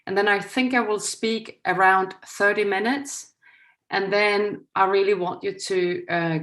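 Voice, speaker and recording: A woman's voice: medium pitch, fairly bright tone. A middle-aged woman with a Danish accent, a non-native English speaker giving an earnest inaugural lecture to journalism students over a video call, partly reading from a script. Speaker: guest lecturer.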